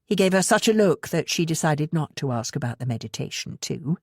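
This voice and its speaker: A woman with a husky voice reading a novel aloud in a measured, expressive storytelling manner. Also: sits low, no room sound, clean studio sound. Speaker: audiobook narrator. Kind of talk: scripted fiction narration.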